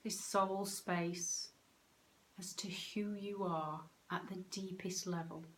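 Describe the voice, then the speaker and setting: A woman leading a guided meditation in a calm, soothing, unhurried way, with pauses between short phrases. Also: on the low side, slight background noise. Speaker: meditation guide, soul coach.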